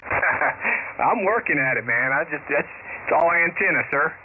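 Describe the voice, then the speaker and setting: A man's voice: a little high, lively intonation, unhurried. A man calling in on ham radio, laid-back and joking with the host. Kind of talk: casual on-air radio chat. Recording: narrow shortwave radio signal with heavy noise.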